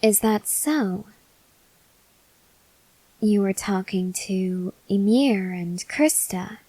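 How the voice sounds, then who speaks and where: A woman plays a possessive vampire girlfriend in an ASMR roleplay, speaking very slowly and intimately to one listener. Her mid-pitched voice is measured and quietly menacing, dipping into breathy whispers. The sound is close and dry. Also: no room sound, poor audio. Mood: probing, affectionate. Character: possessive, softly menacing female vampire lover.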